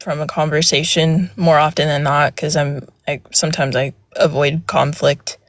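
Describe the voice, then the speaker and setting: A young woman with a soft, fairly low voice recounts her experience in a hesitant, filler-heavy way. The sound is dry and close, with no room around it. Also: little pitch movement, normal speed, dry recording. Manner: hesitant, many fillers and restarts. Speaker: guest speaker on a live panel. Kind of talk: casual personal account. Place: outdoors near a road.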